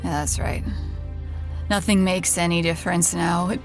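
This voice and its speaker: Actress as dying woman, lowish pitch, stubborn and resigned, halting delivery, radio play, music underneath. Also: close to an everyday voice. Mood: halting, pained. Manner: halting, flatly fatalistic. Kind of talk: audio drama acting.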